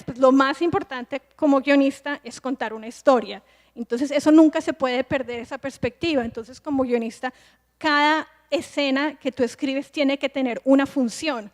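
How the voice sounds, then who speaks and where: A high-pitched woman in her forties speaks as a film director and screenwriting teacher on a festival panel. She explains step by step, often restarting mid-phrase as she thinks aloud. Her voice rings with the long reverb of a large hall.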